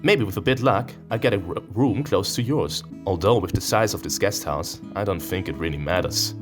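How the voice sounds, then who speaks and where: A man's voice: sits low, normal speed, over music. A man hosting a gaming video in a playful, theatrical way, switching between reading visual novel text aloud and commenting on it. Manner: playful, theatrical, prone to sudden outbursts.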